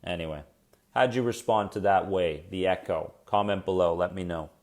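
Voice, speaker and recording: Low-voiced man coaching viewers live. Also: moderate pace. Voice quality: soothing. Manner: chatty and encouraging, addresses viewers directly and reacts to their comments. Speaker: anxiety coach hosting a weekly live stream. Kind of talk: casual live-stream coaching talk.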